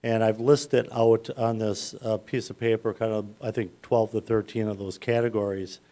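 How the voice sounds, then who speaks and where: Loud-voiced man explaining finances to council. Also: on the low side, little pitch movement, moderate pace. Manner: walks through points step by step. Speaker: municipal finance advisor. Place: city council chamber.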